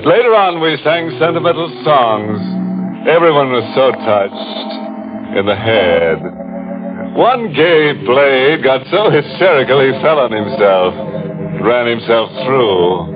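An actor playing a macabre, gleefully ghoulish horror host in 1940s radio style, with a sardonic, punning, mock-genial manner. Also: male voice, medium pitch, big pitch swings, slow. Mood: ghoulishly genial. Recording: muffled, tinny old radio broadcast with music. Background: music.

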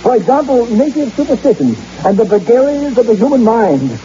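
An actor playing a brusque, pompous, scornful doctor on a hunting trip in an old radio drama. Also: male voice, extremely high pitch, sing-song, brisk, mellow. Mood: smug, irritated. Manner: dismissive, impatient, pompous. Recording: muffled, hissy vintage radio drama recording.

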